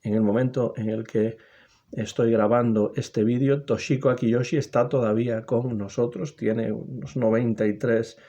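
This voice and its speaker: A man giving a measured, unscripted commentary on a jazz musician, pausing often with hesitant fillers. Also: mid-range voice, fairly even intonation, mellow, lo-fi sound.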